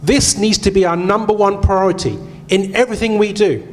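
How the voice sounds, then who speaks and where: Male candidate, high voice, emphatic and urgent. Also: fairly even intonation, a little fast, a little mellow. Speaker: parliamentary candidate. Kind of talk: debate answer at a hustings.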